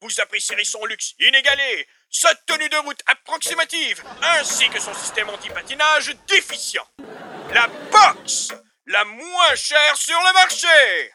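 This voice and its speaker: A man acts an over-the-top radio salesman in a spoof advert. His pitch is extremely high and swoops about with exaggerated sales zeal, over clear background noise. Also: animated, a little slow, pushed and bright, exaggerated voice. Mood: hard sell. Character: zany over-the-top male radio announcer selling junk.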